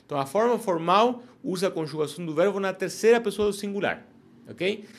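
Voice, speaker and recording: A man's voice: high-pitched, lively intonation, fairly quick. Male Spanish teacher explaining patiently. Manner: explains step by step, checks in with "ok?" and "sim?". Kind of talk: online language lesson.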